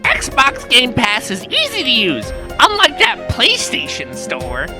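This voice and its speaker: A woman's voice: on the high side, wildly swooping pitch, slow pace, over music, heavily acted. A woman voicing a cheeky, opinionated young male monkey sidekick in a scripted comedy cartoon. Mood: argumentative. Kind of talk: scripted comic cartoon dialogue.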